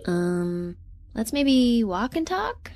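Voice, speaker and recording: A woman's voice: on the high side, big pitch swings, drawn-out words, darkish tone, no room sound, heavily acted. An actress playing an earnest, literal-minded young woman researcher in a sci-fi audio drama, speaking conversationally and a bit hesitantly. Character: earnest, awkward young woman researcher defecting from corporate ship. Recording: clear ambient noise behind.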